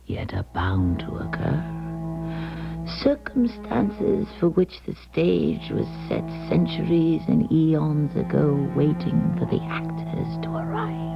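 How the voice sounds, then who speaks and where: Elderly clairvoyant, slow and deliberate, swooping intonation, earnest, mysterious, hushed endings, radio drama, muffled broadcast sound. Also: female voice, low voice, exaggerated voice. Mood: brooding, mysterious. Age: older. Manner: theatrical, deliberate, hushed breathy phrase endings. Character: elderly Russian émigré woman, former clairvoyant, earnest and mysterious. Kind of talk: 1970s radio drama acting, confiding monologue.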